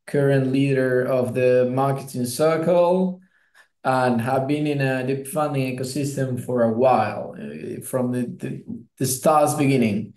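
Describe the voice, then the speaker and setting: Slow man, echoey call. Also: mid-range voice, steady tone, darkish tone. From Argentina. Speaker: attorney, team leader. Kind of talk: self-introduction on video call. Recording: echoey room on online call.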